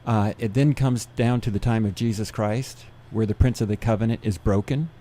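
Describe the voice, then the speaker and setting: A man who gives seminars, guesting on a radio interview, explaining things in a steady, methodical way. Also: lowish voice, normal speed. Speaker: seminar speaker.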